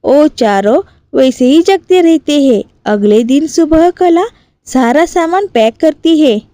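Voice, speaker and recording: A woman's voice: high-pitched, lively intonation, slow pace, warm tone, no room sound. A woman reading scripted narration for a cartoon family story.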